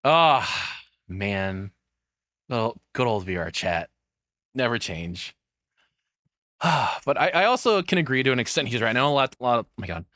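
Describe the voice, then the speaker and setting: A man streams his live reactions in a loose, rambling, profane style. His voice sits at an average male pitch. The sound is dry and close, with no room to it. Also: very animated, moderate pace, long pauses, pushed and bright, no room sound. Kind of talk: casual live reaction commentary.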